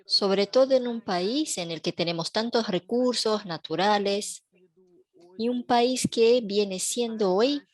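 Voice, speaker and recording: A woman interpreting a panel talk in a measured, even way over a video call. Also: mid-range voice. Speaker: simultaneous interpreter.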